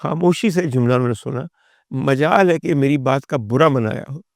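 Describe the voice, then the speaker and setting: Gravelly Pakistani man telling personal stories. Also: mid-range voice, moderate pace, no echo, clean studio sound. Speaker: talk show guest and storyteller. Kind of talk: personal storytelling monologue to an audience.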